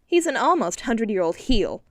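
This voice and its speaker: A sharp-tongued flapper from an audiobook, as a woman narrator puts her on. She talks brash and bossy in quick slang, louder and higher than the narration. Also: very animated, dry recording, studio quality.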